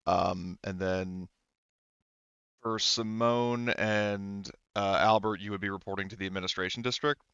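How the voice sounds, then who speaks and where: A man's voice: lowish voice, fairly even intonation, unhurried, gravelly, a bit bright, smooth, dry recording, lo-fi sound. A man casually explaining a tabletop game as game master, in an easygoing, informal way.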